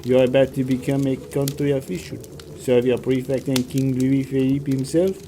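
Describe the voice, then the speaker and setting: A man's voice: mid-range voice, level tone, background noise, close to an everyday voice. Man acting a smug, pompous town official. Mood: smugly genial. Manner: smug, pompous, unhurried politeness. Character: smug male town official, blackmailer, falsely genial. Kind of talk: audio drama acting.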